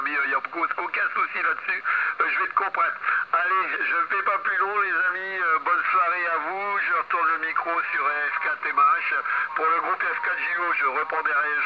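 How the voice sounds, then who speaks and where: A man from northern France chatting in a casual, jokey way as an amateur radio operator over a radio transmission. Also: a little high. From Lens, northern France. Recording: dull, very noisy shortwave radio reception.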